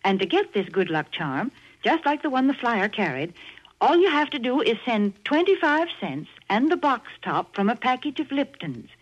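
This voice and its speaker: A woman announcer on a 1940s radio show, chatty, reading a sales pitch. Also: very animated, normal speed. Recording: dull, old radio recording.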